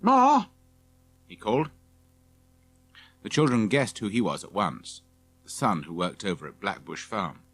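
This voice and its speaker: A man's voice: deep voice, very animated, normal speed, long pauses, soft background music. A man reading scripted narration for a children's audiobook.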